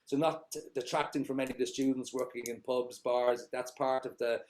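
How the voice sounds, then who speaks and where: Middle-aged Irish founder, average pitch, meandering answers full of ums and uhs. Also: male voice, fairly even intonation, brisk, darkish tone. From Ireland. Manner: rambling, many fillers and restarts. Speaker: company founder, guest. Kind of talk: casual interview answers.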